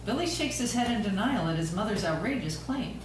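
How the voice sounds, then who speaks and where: A woman's voice: mid-range voice, expressive, a little fast. A woman reading scripted stage narration at an amateur table read. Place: echoey room, recorded from a distance. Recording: very echoey room, distant mic, clear noise.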